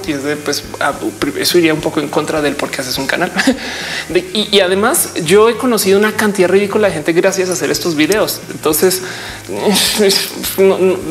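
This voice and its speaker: A woman in her thirties chatting casually to camera as a YouTuber, informal and reflective, wandering off on tangents. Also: low voice. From Colombia. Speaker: YouTuber host answering viewer questions. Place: indoors, small office.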